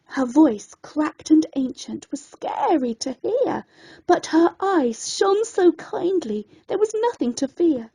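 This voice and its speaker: A woman storyteller reading a rhyming children's bedtime story in an expressive, theatrical and deliberate way. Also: high-pitched, creaky, rough recording.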